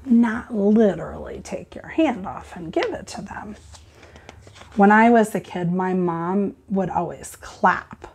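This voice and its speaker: Very animated, slow-paced woman teacher. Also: medium pitch, vocal fry, slight background noise. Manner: expressive, deliberate, instructive. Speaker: teacher reading a picture book aloud. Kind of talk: read-aloud and explaining to children.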